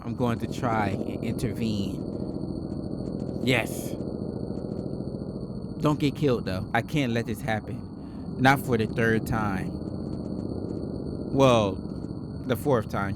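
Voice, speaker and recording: A man's voice: on the low side, takes it slowly, pauses often, very noisy. A man giving casual reaction commentary, reading text aloud and breaking in with slangy, informal exclamations. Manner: slangy, informal, exclaims between read lines. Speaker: host of a horror reaction video.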